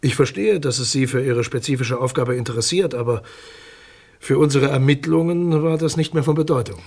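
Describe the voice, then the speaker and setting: A man's voice: mid-range voice, a little fast, a little mellow, close to an everyday voice. An actor playing a guarded, dismissive male public prosecutor in a German radio crime drama, formal and condescending in his speech.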